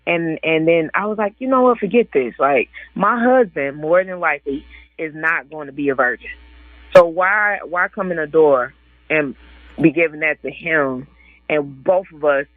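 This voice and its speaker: A woman's voice: deep voice, moderate pace, no room sound. A woman in her forties, an author and guest on a casual radio interview, chatty and laughing often as she quotes other people. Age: 40s. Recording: dry tinny phone line.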